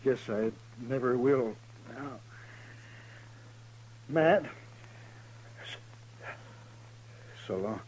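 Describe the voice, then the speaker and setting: An actor playing a dying, loyal Old West lawman in a radio drama, resigned, speaking in weak, halting phrases. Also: mid-range voice, a little mellow, low-quality recording. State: weak, labored, halting speech. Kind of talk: 1950s radio western acting. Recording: old radio recording, faint noise.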